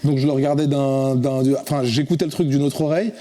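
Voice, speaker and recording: A French man in his forties, a singer-songwriter chatting casually as a guest, often halting and restarting his sentences. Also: mid-range voice, steady tone, warm tone. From France. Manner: hesitant, many restarts and fillers. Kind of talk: casual interview chat.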